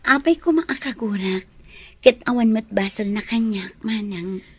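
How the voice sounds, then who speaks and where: An actress playing a gentle, sickly young lady in love, breathy, in a Filipino radio drama. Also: medium pitch, measured pace. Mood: defensive.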